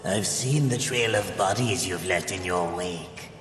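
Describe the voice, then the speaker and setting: A man's voice: low-pitched, a little slow, a bit bright. A man playing a sly male demon merchant of souls in a video game, with an oily, theatrical, flowery delivery. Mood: salesman's flourish. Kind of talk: video game character acting. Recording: echoing hall, music behind.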